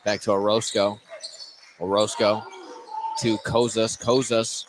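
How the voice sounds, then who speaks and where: A man's voice: lowish voice, level tone, takes it slowly. A man doing live play-by-play radio commentary of a basketball game, often repeating and restarting phrases as he follows the action. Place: indoor gymnasium during a basketball game. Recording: noisy live gym broadcast. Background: loud gym ambience, bouncing basketball.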